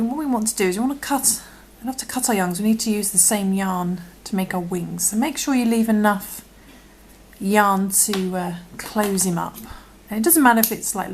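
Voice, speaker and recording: Woman, croaky voice, patient crochet tutorial, mid pitch, slight background noise. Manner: patient, often drops to a half-whisper while working. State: croaky from illness. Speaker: crochet instructor. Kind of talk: step-by-step tutorial.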